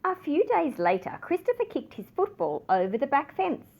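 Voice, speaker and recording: A woman reading a children's picture book aloud with expressive, dramatic emphasis. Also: sits high, normal speed, creaky, dull audio, a little hiss or hum. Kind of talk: scripted children's story narration.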